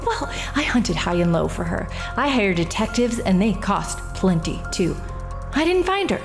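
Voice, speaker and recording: A woman's voice: medium pitch, sing-song, creaky, over music. An actress playing a bitter, defiant woman who confesses a killing in a radio drama. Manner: bitter, defiant, rushed when reliving the fight.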